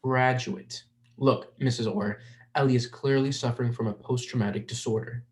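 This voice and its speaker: An earnest, insistent college researcher, acted by a young performer in a drama reading. The mid-pitched voice sits between male and female and sounds defensive. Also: steady tone, normal speed, darkish tone, natural voice.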